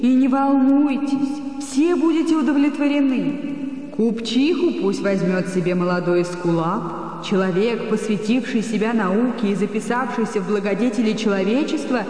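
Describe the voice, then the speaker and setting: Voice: medium pitch, normal speed, a little mellow, close to an everyday voice. An actor playing Fate as an imperious, teasing gift-giver, grandly declaiming and patronizing in a theatrical radio drama. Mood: grand, coaxing. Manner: grandly declaiming, patronizing, addressing takers as dears. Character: Fate handing out gifts at a party, imperious and teasing. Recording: very echoey hall, music behind.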